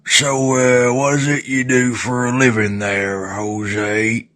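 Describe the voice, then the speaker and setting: A man playing a suspicious, condescending father sizing up a new boyfriend, in a slow and confrontational manner. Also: mid-range voice, little pitch movement, a bit bright.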